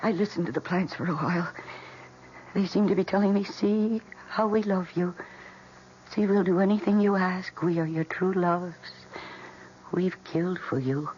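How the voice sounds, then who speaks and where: A woman's voice: on the low side, moderate pace, darkish tone, natural voice. Woman playing an intense, obsessive young housewife, muffled old radio. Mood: dark, obsessive. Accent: American. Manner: intense, dramatic, breathy emphasis. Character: fragile, obsessive housewife confessing her killer plants' deed. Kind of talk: classic American radio drama acting. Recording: dull, noisy old radio recording.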